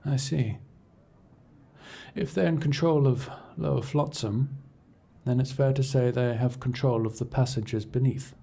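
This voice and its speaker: A man playing a cool, imperious scholar-agent in a fantasy audio roleplay, speaking in a formal, measured, dryly condescending way. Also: medium pitch, fairly even intonation, vocal fry, warm tone, slightly rough. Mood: probing, calculating. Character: cool, imperious male scholar-agent serving an arcane archivist patron. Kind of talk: scripted audio roleplay acting, addressing the listener.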